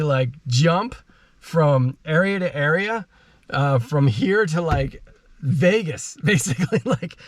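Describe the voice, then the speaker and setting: Chatty, higher-voiced man in a dry recording. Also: fairly bright tone, no echo. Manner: informal, slangy, chatty. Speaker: host of a car DIY channel. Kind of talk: casual how-to vlog talk.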